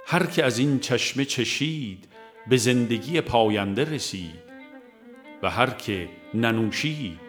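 A man reading a devotional audiobook in a measured, solemn, formal literary manner. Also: on the low side. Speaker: audiobook reader. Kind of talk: scripted narration of a devotional text.

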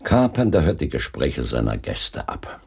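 An actor playing a wry, unflappable Scotland Yard chief inspector with dry irony in a German crime radio play. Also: male voice, extremely low pitch, very animated, measured pace, creaky, a little mellow, slightly rough, heavily acted. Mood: businesslike.

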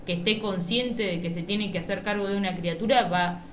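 An Argentine woman shares her views in an informal radio discussion, outspoken and encouraging. Her voice sits at a middling pitch. The room is echoey, with faint noise behind her. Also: a little fast. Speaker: radio programme contributor.

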